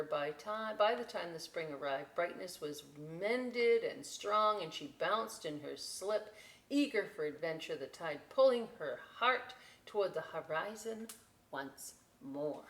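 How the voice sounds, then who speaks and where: A woman hosting a children's storytime, reading and chatting informally in an expressive, emphatic way. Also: medium pitch. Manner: expressive, emphatic, unhurried delivery. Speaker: library storytime host. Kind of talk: children's read-aloud with informal asides. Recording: echoey room.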